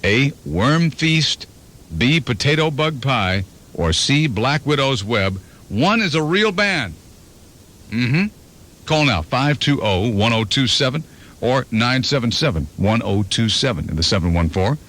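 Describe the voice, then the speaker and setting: A man hosting a morning radio show, joking and telling stories in quick banter. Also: mid-range voice, big pitch swings, a bit bright. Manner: storytelling, playful, slips into voices. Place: radio studio. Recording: 1991 FM radio aircheck.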